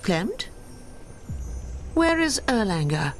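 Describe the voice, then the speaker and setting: A woman voicing a composed, resolute female elf heroine in a video game, measured and brief. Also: medium pitch, very animated, soft background music. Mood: determined. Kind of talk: video game cutscene voice acting.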